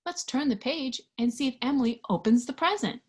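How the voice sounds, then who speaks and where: A woman reading a picture book aloud to young children, expressively, pausing to put questions to her listeners. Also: sits high, breathy edge. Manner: expressive, animated, with hushed asides and questions to listeners. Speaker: storybook reader.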